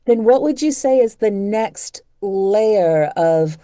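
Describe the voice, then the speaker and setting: A woman's voice: mid-range voice, animated, slow pace, warm tone, dry recording, studio quality. A woman hosting a conversational interview, thoughtfully building her questions in short, repeated phrases. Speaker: interview host.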